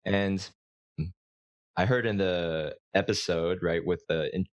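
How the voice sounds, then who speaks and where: A man with a very low voice hosts a casual conversation. He thinks aloud, circling back and restarting his sentences. The sound is dry and close. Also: slow pace, no room sound, highs cut off. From United States. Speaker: podcast host.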